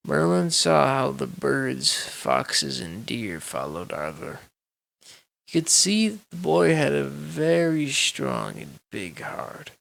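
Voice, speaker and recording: A man reading a bedtime story aloud as a storyteller, with measured, dramatic delivery. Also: medium pitch, wildly swooping pitch, creaky, fairly bright tone.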